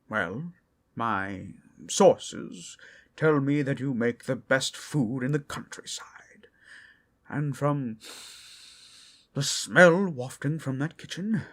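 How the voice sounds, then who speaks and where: A man voicing a mysterious male human wizard who speaks theatrically, dropping to hushed tones. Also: mid-range voice, exaggerated voice. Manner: theatrical, slow and hushed at times.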